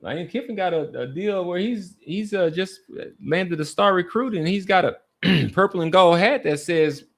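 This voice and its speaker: A man with a fairly high voice hosts a casual sports discussion. He is emphatic and tends to repeat key phrases to drive a point home. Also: normal speed. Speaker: sports talk podcast host.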